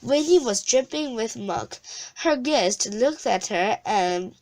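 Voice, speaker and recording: Slow, high-voiced woman reading aloud. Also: expressive, a little mellow. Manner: halting, often misreads and restarts words. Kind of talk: reading a children's storybook aloud.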